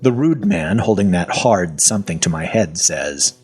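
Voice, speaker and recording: A weary, sardonic male captive, played by an actor tells his own audio horror story. His voice is very low and husky, with wide swings in pitch. The delivery is wry and clipped. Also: normal speed, faint music behind. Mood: wry narration. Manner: deadpan, wry, clipped phrases. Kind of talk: first-person audio fiction narration.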